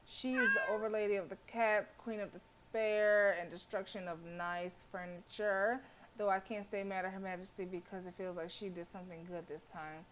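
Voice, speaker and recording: A woman streamer reading a visual novel aloud as expressive live narration. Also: medium pitch, moderate pace, noisy background.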